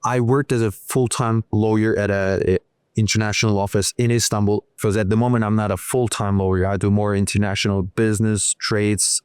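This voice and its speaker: A man whose first language is Turkish, speaking English as an interview guest in a thoughtful way, pausing often and restarting his sentences. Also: on the low side, flat delivery, darkish tone, clean tone, no room sound, clean studio sound. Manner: hesitant, many restarts and fillers, slow thoughtful phrasing. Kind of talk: casual interview conversation.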